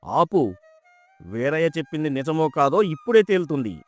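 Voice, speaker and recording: A man's voice: fairly high pitch, sing-song, normal speed, faint music behind. A man playing a stern, authoritative king in a dramatised folk tale, with a commanding, declamatory manner. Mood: stern, questioning.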